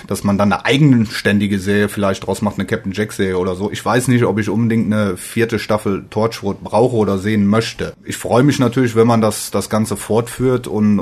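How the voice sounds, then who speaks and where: A man's voice: lowish voice, a little mellow. A man speaking as a podcast guest in a casual fan discussion, feeling out his phrases and often repeating words.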